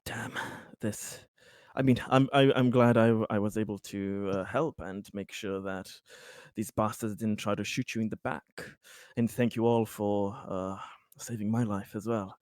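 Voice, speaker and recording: A man playing a tabletop roleplaying game, speaking casually and haltingly, often stammering and repeating words. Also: on the low side, fairly even intonation, darkish tone, no echo, studio quality.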